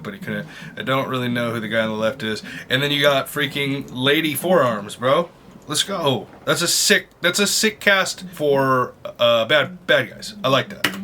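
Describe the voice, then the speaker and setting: A man's voice: fairly high pitch, bright, forceful tone, a little hiss or hum. A man hosting a casual reaction video, commenting in loose, slangy, sweary talk as if chatting with buddies. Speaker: YouTube reaction host, streamer.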